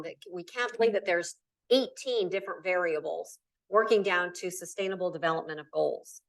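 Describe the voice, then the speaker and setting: A middle-aged woman from Nebraska speaks at a conference, leaning hard on key words and running through lists. Her voice sits at a middle pitch. The mic is some way off, so she sounds distant in the hall. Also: a bit bright. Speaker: keynote speaker. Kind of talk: conference talk. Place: lecture hall. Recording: voice from far off the mic.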